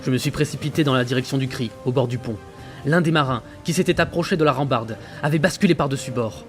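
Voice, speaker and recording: Prominent music runs under an actor voicing a haunted seafaring man. He recounts his journal in grave, fearful, dramatic narration, in a mid-pitched voice suited to audio fiction. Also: quick pace, natural voice. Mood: tense. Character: frightened male sailor narrating his ghost-ship journal.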